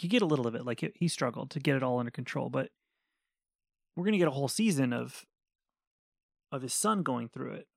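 A man's voice: mid-range voice, vocal fry, dry recording, studio quality. A man co-hosting a casual podcast, joking and rambling wryly about TV shows. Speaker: podcast co-host. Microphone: studio podcast mic.

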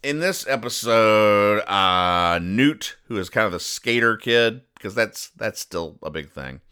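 A male podcast host with a fairly low, bright and forceful voice. He explains comic strips conversationally but with mock seriousness, wandering into pedantic digressions and acting out bits of dialogue. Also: lively intonation, slow, clear, resonant tone.

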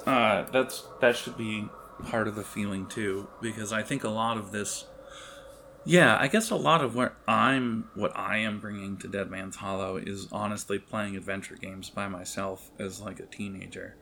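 A man narrating an improvised tabletop game as game master, in a halting, conversational way, speaking directly to his players. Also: on the low side, fairly even intonation, gravelly, bright, forceful tone, slight background noise.

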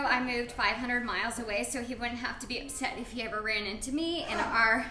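A woman's voice: a little high, close to an everyday voice. A woman playing an awkward, grieving dog walker who rambles and stammers earnestly in a live comic play reading. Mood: nervous, insistent. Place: live event in a roomy space. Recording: echoey room, clearly noisy live recording.

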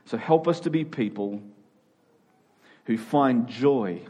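A man's voice: mid-range voice, expressive, slow, dark tone. A man speaking as a pastor, giving an earnest sermon to a congregation in a conversational, informal way.

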